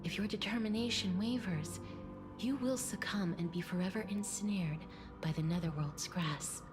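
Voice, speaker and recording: Woman acting as gentle female death demigod, young, soft and whispery, solemn, tender, measured, game dialogue, music behind. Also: mid-range voice, lightly acted. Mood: solemn, resolute. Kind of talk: dramatic video game voice acting. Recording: music under the voice.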